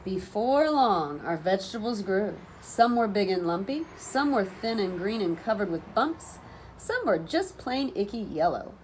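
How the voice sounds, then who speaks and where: Female storybook reader, teacherly and expressive, widely varied pitch, some noise in the recording. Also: low-quality recording. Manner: animated, deliberate phrasing for children. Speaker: teacher reading a storybook aloud. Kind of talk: expressive children's storybook read-aloud. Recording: noticeable background noise.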